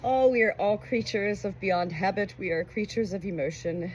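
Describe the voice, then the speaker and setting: A woman with a medium-pitched voice reads aloud in an earnest, emotional way. Her delivery is expressive and personal. Clear background noise with a crowing rooster sits behind her. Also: normal speed, poor audio. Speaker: audiobook reader. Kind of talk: expressive book narration with personal commentary.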